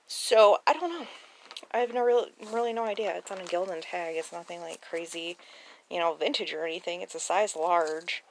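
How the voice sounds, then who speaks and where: A woman with a mid-range voice talks in a casual, chatty vlog style. Her wording is informal and slangy. The handheld recording carries clear noise behind her. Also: medium pitch, a bit bright, poor audio. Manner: informal, chatty, mild slang like freakin' and stinking. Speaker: thrift reseller vlogger.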